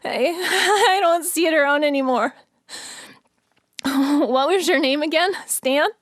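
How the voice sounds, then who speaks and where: A woman's voice: high-pitched, takes it slowly, no echo, clean studio sound. A woman playing a shaken, skeptical eyewitness in an audio drama, blunt and sardonic. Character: shaken, skeptical woman in cat costume, alien witness.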